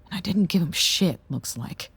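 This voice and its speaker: Weary, gravelly scientist in charge. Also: medium pitch, expressive, moderate pace, fairly bright tone, exaggerated voice. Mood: bleak, resigned. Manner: dry, sardonic, resigned. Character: weary, guilt-laden scientist and acting site director, bitter and curt. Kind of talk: audio drama acting.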